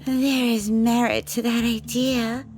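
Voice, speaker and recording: An actress playing a composed, cunning woman who speaks in a measured, formal way in an audio roleplay. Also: a little high, level tone, a little mellow, soft background music. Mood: calm, scheming. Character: composed, cunning woman, devoted partner and schemer. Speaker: lead character in an audio drama. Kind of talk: ASMR audio roleplay acting.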